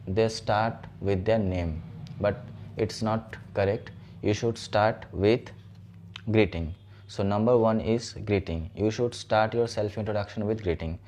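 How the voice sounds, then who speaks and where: A man's voice: fairly low pitch, mellow. A man teaching a spoken lesson, explaining step by step and often checking with "right?". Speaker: English teacher.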